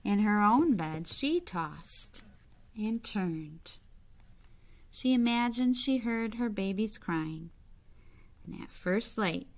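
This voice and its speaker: Slow-paced woman reading a picture book aloud. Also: medium pitch. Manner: deliberate, expressive phrasing, pausing to comment to young listeners. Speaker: read-aloud storyteller, teacher-like. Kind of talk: picture book read-aloud with brief asides.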